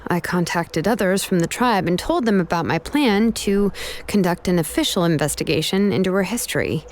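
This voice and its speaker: A woman's voice: fairly low pitch, darkish tone, a little hiss or hum, lightly acted. An actress playing a kind, laid-back young craftswoman in a video game, with a casual, reassuring manner. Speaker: game character.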